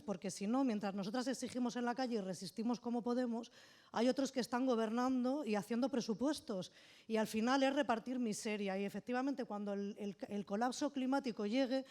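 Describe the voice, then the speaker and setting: A woman's voice: fairly high pitch, darkish tone. A woman from Madrid giving earnest, emphatic political remarks from the floor of an assembly in a conference hall. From Madrid, Spain. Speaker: assembly participant.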